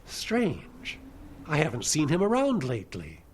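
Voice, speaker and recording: Fussy older gentleman, swooping voice. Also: fairly high pitch, huge pitch swings, unhurried, a little hiss or hum. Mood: wry, reproachful. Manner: genteel, theatrical phrasing. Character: fussy old-fashioned gentleman landlord, theatrical and reproachful. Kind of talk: comic radio drama acting.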